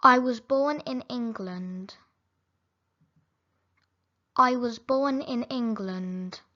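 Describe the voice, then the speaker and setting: A woman reading simple English phrases for learners, saying each sentence slowly and clearly, then repeating it. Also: on the high side, bright, forceful tone, highs cut off. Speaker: English teacher.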